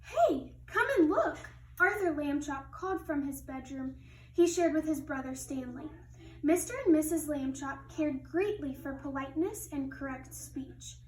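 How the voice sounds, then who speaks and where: A woman's voice: high-pitched, moderate pace. A woman schoolteacher reading a children's story aloud expressively, with asides to her young pupils. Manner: expressive, teacherly. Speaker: second grade teacher. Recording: roomy echo, distant mic, faint noise.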